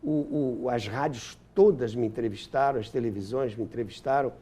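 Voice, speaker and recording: A man's voice: mid-range voice, moderate pace, dark tone, husky. An older man with a Brazilian accent, reminiscing as an interview guest and searching for words here and there. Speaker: interview guest, historian and author.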